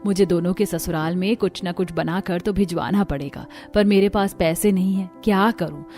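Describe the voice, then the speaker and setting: A woman's voice: fairly low pitch, moderate pace, mellow, voice pushed down, lightly acted. A woman voicing a poor, worried middle-aged mother fretting to herself in a cartoon story. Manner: fretful, talking to herself. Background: music.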